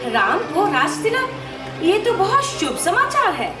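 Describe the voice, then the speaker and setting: Over music and echo comes a proud, demanding queen, acted by a woman. Her voice is high and very lively, with the imperious, theatrical delivery of a mythological drama. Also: measured pace, exaggerated voice. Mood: curious. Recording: echoey, distant playback with loud music.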